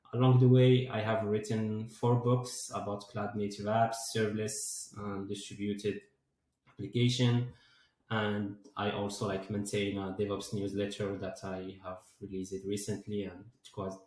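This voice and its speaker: A man's voice: fairly low pitch, a little mellow. A man in his twenties from Morocco, answering interview questions as a guest and filling his sentences with "like" and "uh". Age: 20s. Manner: many fillers like "like" and "uh". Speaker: guest, DevOps engineer and founder. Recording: very echoey room.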